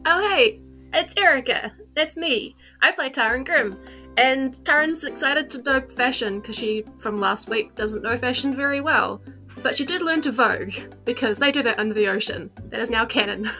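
A New woman plays a tabletop game in casual banter. Her voice is fairly high and bright, over faint music. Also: normal speed.

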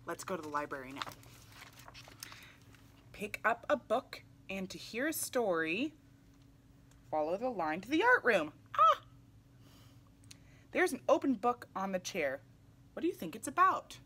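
A young adult woman reading a children's picture book aloud in an animated way, putting questions to young listeners. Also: medium pitch, measured pace, pauses often, slight background noise. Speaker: read-aloud storyteller for children. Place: small indoor room, seated in armchair.

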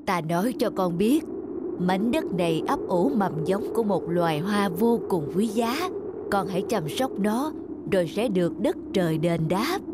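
A woman's voice: mid-range voice, lively intonation, normal speed, very noisy, natural voice. A woman voicing a kindly, wise old fairy with a solemn manner in a fairy-tale cartoon. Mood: serene, reassuring. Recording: loud music behind the voice.

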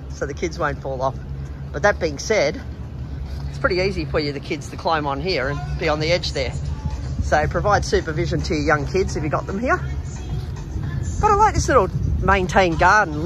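Higher-pitched Australian man, casual vlogging. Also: rough recording. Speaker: travel vlogger. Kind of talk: casual walking vlog narration. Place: outdoors in an urban riverside park. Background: background music, outdoor city sounds.

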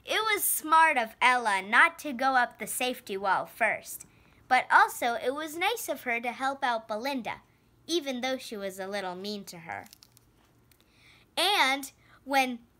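A preteen girl reading a children's picture book aloud with expressive, deliberate storytelling. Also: high-pitched, no room sound. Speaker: storybook reader and channel host. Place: indoor room at home, on a sofa.

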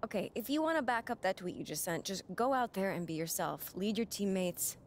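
Woman acting as direct, mid-pitched supportive adviser. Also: expressive, a little fast, a little hiss or hum, lightly acted. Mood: matter-of-fact. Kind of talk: video game cutscene acting, conversational.

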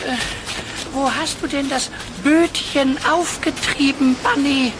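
A grumbling, easily fooled male sidekick for a cartoon audio play. The voice is very high, with a whiny, hesitant delivery. Clear noise from an old cassette sits behind it. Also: fairly even intonation, slow. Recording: noisy old cassette recording.

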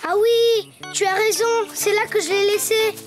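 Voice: very high-pitched, steady tone, normal speed. An actor voicing an eager, playful, imaginative little boy donkey in a children's cartoon. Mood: curious, cheerful. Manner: playful, exclaiming. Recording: music behind the voice.

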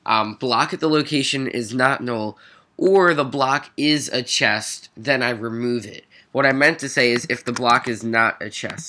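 A man's voice: mid-range voice, bright, forceful tone. A man with a nasal, stuffed-up voice from a cold, giving an informal coding tutorial and thinking aloud as he goes. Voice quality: nasal, congested. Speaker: programming tutorial host. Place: at a computer, screen recording voice-over. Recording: noisy mic with keyboard clicks. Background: faint noise, typing and clicks.

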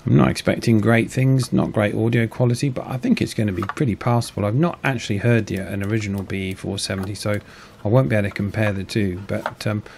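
A man's voice: fairly low pitch, fairly even intonation, quick pace, gravelly, few pauses, darkish tone, slight background noise. A man casually narrating his hobby build in a modest, understated way. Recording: music behind the voice. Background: guitar music.

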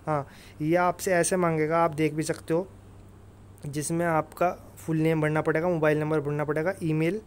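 A man giving an informal, emphatic explanation as an exam-prep presenter, often repeating tag phrases. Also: a little high. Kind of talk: informal explainer over screen recording.

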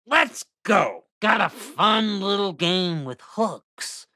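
Bright, brash, taunting killer doll. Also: female voice, mid-range voice, lively intonation, slow. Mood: eager menace. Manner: brash, mocking, aggressive. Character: foul-mouthed, taunting, murderous living doll. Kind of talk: shouted video game villain taunts.

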